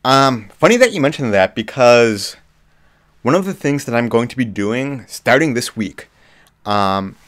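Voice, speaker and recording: A man hosting a live movie chat, slightly hoarse with a cough, talking casually with lots of ums. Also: fairly low pitch. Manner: relaxed, frequent ums and restarts. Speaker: livestream host. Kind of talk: casual live chat reading viewer comments.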